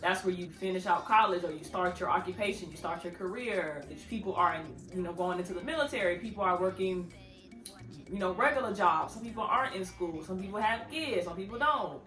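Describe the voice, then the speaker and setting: Woman, 30s, bright voice, chatty, playful, laughs a lot, echoey room, faint music behind. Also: fairly low pitch, a little fast. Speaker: vlogger, channel host. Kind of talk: casual advice talk to camera.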